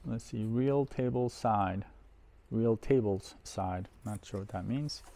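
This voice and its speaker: A middle-aged man giving casual, unhurried unboxing commentary, thinking aloud as he handles things in a workshop. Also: lowish voice, creaky, dark tone. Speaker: host of an audio gear unboxing video. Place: indoor workshop at a workbench.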